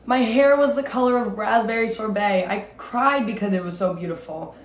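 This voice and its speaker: Young woman, storybook read-aloud, expressive delivery, slightly high voice, roomy home recording. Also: moderate pace. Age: young adult. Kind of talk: expressive children's storybook reading. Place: small indoor room at home. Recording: roomy echo, light background noise.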